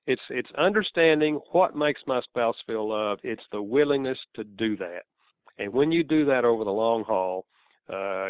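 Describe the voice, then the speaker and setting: A man's voice: medium pitch, dry recording. Man, Southern US accent, earnest author guest, lo-fi phone-quality line. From American South. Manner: earnest, explanatory, chuckles between points. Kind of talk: interview answers. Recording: tinny phone-like line, dry.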